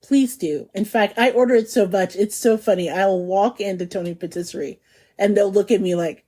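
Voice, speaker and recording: A woman's voice: medium pitch, normal speed, mellow. Bubbly, laughing woman podcast host. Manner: bubbly, laughs often, chatty. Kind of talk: casual food podcast chat.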